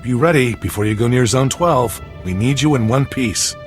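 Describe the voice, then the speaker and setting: A male actor plays a no-nonsense resistance fighter in a video game. His voice sits mid-range, and he talks like a practical soldier giving an informal briefing. Music is clearly audible behind him. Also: mid-range voice, moderate pace, close to an everyday voice. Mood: businesslike. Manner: informal, matter-of-fact military briefing. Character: plain-spoken, pragmatic resistance soldier, adult man.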